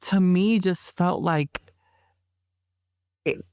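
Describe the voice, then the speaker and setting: A woman guest and performer in a reflective interview, speaking slowly and pausing to find her words, with slangy asides. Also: lowish voice, mellow, no echo, clean studio sound.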